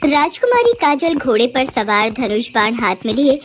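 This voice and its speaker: High-pitched woman storyteller. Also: very animated, normal speed, a little hiss or hum. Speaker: narrator. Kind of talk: scripted children's story narration.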